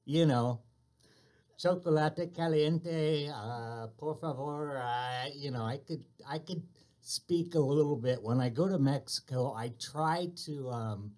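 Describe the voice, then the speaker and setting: A man hosting a casual radio chat, telling a relaxed anecdote. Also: on the high side, slow. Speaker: radio host.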